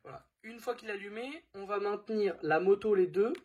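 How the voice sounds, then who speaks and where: Husky man giving a casual product tutorial. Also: sits high, fairly quick, mellow. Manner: informal, thinking aloud with frequent hesitations. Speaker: vlogger presenting a product.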